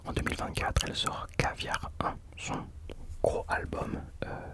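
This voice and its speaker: A man speaks entirely in a soft, breathy whisper, in the style of an ASMR host. His delivery is casual and chatty commentary, with hesitations and self-deprecating corrections along the way. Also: unhurried.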